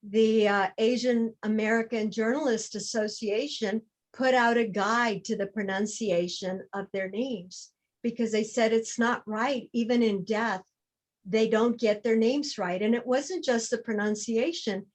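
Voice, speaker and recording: A woman speaks as a guest in a panel discussion, her voice a little higher than average. She explains things in a measured, reflective way. Key words get deliberate emphasis. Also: fairly even intonation.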